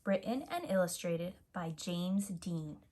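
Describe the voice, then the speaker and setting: A woman reading a children's picture book aloud as a teacher, in a deliberate, animated way for young listeners. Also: on the low side, noisy background.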